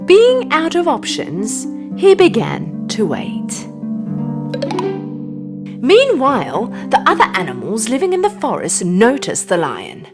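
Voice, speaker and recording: Female children's storyteller; higher voice, very expressive swings, music underneath. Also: slow. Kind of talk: animated scripted children's narration.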